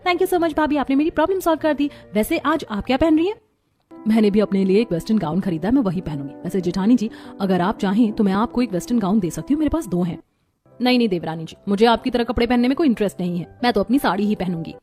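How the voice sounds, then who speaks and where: A woman voicing polite young women of an Indian family in a cartoon story, with expressive delivery. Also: mid-range voice, quick pace, soft background music, close to an everyday voice. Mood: chatty. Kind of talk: scripted cartoon story dialogue.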